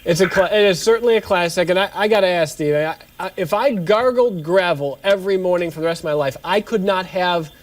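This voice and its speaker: A man hosting a morning news show, upbeat and effusive as he interviews a guest live. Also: high-pitched. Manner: upbeat, effusive, quick to laugh.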